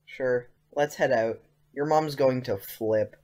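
An inquisitive teenage schoolboy acted by a man in an audio play. He has a medium-pitched male voice. He talks casually but insistently, with an earnest, persistent temper. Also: slow, a little mellow, close to an everyday voice. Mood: questioning, reluctant. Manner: casual youthful phrasing, insistent. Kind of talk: audio drama acting.

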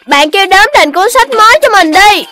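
Very high-pitched, huffy cartoon rabbit girl. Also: animated, moderate pace, pushed and bright, heavily acted. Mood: indignant. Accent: southern Vietnamese. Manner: insistent, huffy. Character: stubborn, easily offended young girl rabbit. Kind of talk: children's cartoon dubbing.

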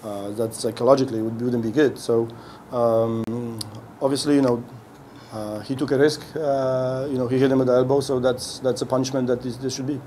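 Halting middle-aged coach at a podium. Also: male voice, medium pitch, little pitch movement, dark tone, slight background noise. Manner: halting, many restarts while searching for words. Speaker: head coach. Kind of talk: post-game press conference answers. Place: indoor press room. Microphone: podium mic on a stand.

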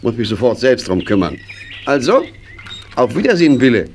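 Man as a jovial, lively middle-aged farm husband. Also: medium pitch, moderate pace, close to an everyday voice. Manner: jovial, blustery, emphatic. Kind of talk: German children's audio play acting. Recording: noisy vintage audio play recording.

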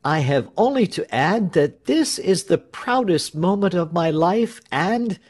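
A man voicing a bashful hero who makes an earnest, pompous speech in a storybook, declaiming haltingly. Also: sits high, expressive, a little mellow, voice pushed up. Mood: proud, earnest.

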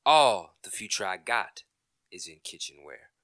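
A man's voice: lowish voice, lively intonation, unhurried, a bit bright, no room sound. A man playing an indignant, stubborn middle-aged husband in an old-time radio comedy, blustering, sarcastic and emphatic. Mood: outraged.

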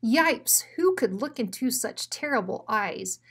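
A woman's voice: fairly high pitch, animated, a little slow, slightly rough. A woman narrating a children's story expressively, as a school principal reading aloud.

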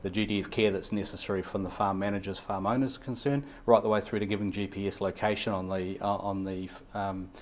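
A man's voice: low-pitched, fairly even intonation, slight background noise. A man in his fifties with a New Zealand accent, giving long, run-on answers full of fillers and restarts in an interview, recorded on a lapel mic in a showroom. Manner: fast, run-on explanations with many fillers and restarts. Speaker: radio equipment supplier. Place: indoor showroom.

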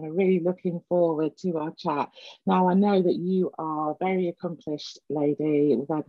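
A woman's voice: low voice, no room sound. Woman podcast host, slightly muffled, dry sound. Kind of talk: conversational interview hosting.

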